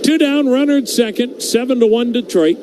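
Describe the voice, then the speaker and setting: A man's voice: very high voice, brisk, heavy background noise. A man doing live baseball play-by-play commentary as a radio announcer.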